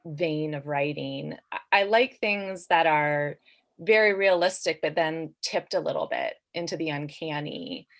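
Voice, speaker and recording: Low-pitched woman thinking aloud. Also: a little slow. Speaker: author, panel guest. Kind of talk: casual reflective discussion.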